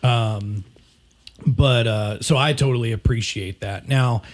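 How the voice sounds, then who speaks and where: A raspy-voiced man talking casually as a podcast co-host. He rambles, trips over his words and restarts often, breaking into easy laughter. His pitch sits in the middle range for a man. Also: slow. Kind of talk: casual chat.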